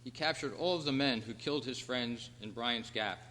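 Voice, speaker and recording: Male quiz host, mid-pitched voice, reading questions aloud at a club meeting, heard with long hall reverb. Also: normal speed, pushed and bright. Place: indoor meeting room at a convention.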